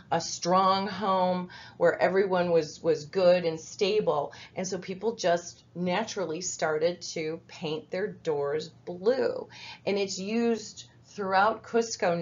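A woman's voice: medium pitch, a little mellow. A middle-aged woman from the United States giving a conversational training presentation over slides, with many fillers and restarts. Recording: dull lo-fi webinar audio.

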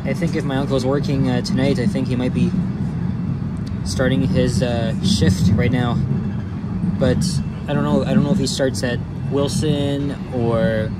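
A man, casually narrating a subway ride in a relaxed, halting way with many fillers, recorded inside a moving train. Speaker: transit vlogger. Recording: loud subway train rumble behind the voice.

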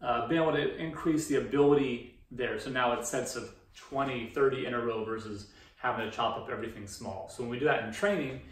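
A man's voice: medium pitch, a little fast. A man in his thirties giving an informal, explanatory presentation as a fitness coach in a conversational way. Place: indoor room beside a presentation screen. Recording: roomy echo, distant microphone.

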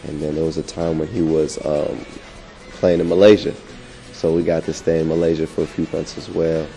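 A young man from the United States giving relaxed, easy interview answers into a handheld mic indoors. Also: extremely low pitch, normal speed, soft background music. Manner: laid-back, laughs easily, warm-hearted talk. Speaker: interviewee, coach's son. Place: indoor room. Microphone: handheld mic held in front.

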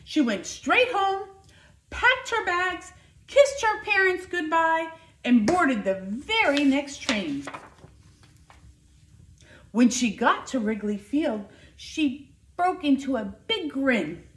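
Female storyteller for children, very lively intonation, unhurried and emphatic, recorded in an echoey room. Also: sits high. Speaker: school nurse reading aloud to children. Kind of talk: animated children's picture book read-aloud. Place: living room by a fireplace, indoors. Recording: roomy, echoing indoor sound.

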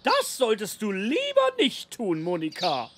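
A woman's voice: mid-range voice, wildly swooping pitch, measured pace. A woman voicing a pompous, learned male goose who lectures fussily in a children's cartoon. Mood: fussy. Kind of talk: children's animated audio-story acting.

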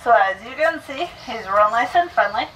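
A woman's voice: on the high side, lively intonation, moderate pace, fairly bright tone. A woman guiding a zoo train tour outdoors, quizzing kids and coaxing animals out into view. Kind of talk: guided tour talk to riders. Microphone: handheld microphone over a train speaker, heard from the camera. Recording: distant voice with outdoor noise.

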